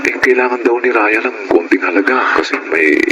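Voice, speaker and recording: An actor with a Filipino accent playing an evasive, placating husband who hesitates and stammers, in a radio drama. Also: male voice, lowish voice, a little fast, natural voice. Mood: sheepish, coaxing. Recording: noisy radio drama sound effects.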